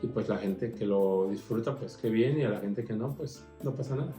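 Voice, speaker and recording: A man's voice: lowish voice, normal speed, mellow. A man, a drag performer talking expressively and conversationally about personal experience in an interview. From Mexico. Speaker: drag queen street performer. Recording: echoey room with music behind.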